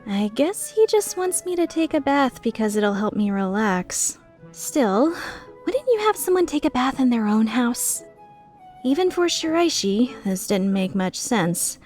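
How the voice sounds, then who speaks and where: A woman's voice: fairly high pitch, very animated, a little slow, darkish tone, faint music behind. A woman playing a flustered, skeptical young woman who narrates her own story introspectively in a fan-dubbed drama. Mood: puzzled, reflective. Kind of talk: fan dub drama with first-person narration.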